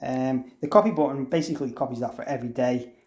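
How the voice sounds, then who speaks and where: A man's voice: mid-range voice, fast talker, a little mellow. A man giving an informal, unscripted product tutorial, explaining things conversationally and thinking aloud as he demonstrates. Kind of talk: informal software walkthrough, unscripted. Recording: roomy, echoey room sound.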